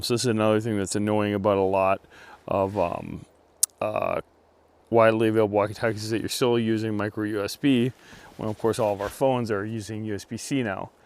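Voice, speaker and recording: A man giving a casual outdoor gear review, speaking in a halting way as he thinks aloud. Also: on the low side, smooth.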